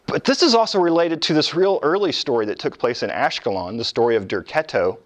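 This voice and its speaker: A man's voice: fairly high pitch, lively intonation, brisk. A man lecturing informally on ancient history as a professor, explaining in a conversational way with colloquial asides.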